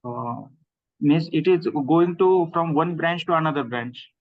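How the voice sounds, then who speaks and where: A male student answering his teacher's question hesitantly, searching for words with many pauses. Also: a little high. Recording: dull lo-fi line.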